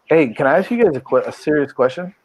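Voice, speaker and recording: A man's voice: on the high side, animated, breathy edge, dry recording. Joking man in his thirties, teasing. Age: 30s. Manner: joking, teasing, quick to interrupt. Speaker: podcast co-host. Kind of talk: casual sports chat.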